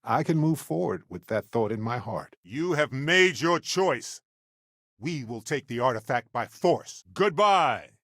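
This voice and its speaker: An actor playing a wry, good-humored space explorer companion in a video game, talking in an expressive, conversational way. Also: male voice, mid-range voice, a little slow, no room sound, studio quality. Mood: tender, then grave. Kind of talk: video game character voice lines.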